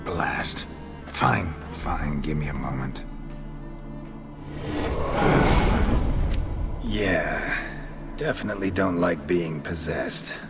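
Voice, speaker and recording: A man playing a monster hunter in a fantasy video game, a gravelly-voiced figure who is at times possessed by a rakish ghost. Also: a bass voice, takes it slowly, loud music behind the voice, full character voice. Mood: dry, irritated. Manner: dry and deadpan, turning florid and flirtatious when possessed. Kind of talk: video game cutscene dialogue.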